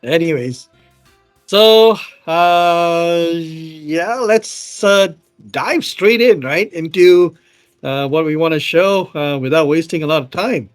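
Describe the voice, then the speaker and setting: A man's voice: fairly high pitch, slow pace, no room sound, faint music behind. A man from Malaysia co-hosting a casual tech show, talking loosely with many fillers while demoing his screen over a video call. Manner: chatty, many fillers, laughs easily.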